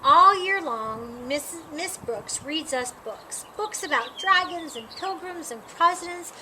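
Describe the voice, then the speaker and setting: A woman reads a picture book aloud for children in a high voice, taking her time over each phrase. Her delivery is expressive and dramatic. The recording is clearly noisy, with birds chirping behind her. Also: slow. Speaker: storytime reader.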